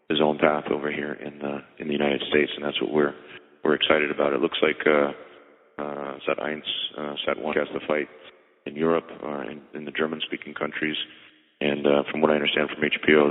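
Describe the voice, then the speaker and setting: A man speaking as a boxing promoter, giving measured, halting explanations on a media conference call. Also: extremely low pitch. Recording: tinny phone-line conference call with reverb.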